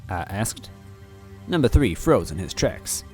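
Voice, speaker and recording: Low-voiced man narrating a story. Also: sing-song, measured pace, a little mellow, slightly rough, soft background music. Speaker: story reader. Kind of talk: first-person storybook narration.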